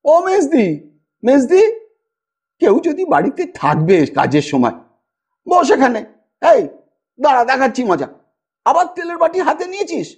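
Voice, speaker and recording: A man doing a shrill scolding village mother. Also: very animated, a little slow, pauses often, darkish tone, full character voice. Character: harried young village mother, scolding yet doting.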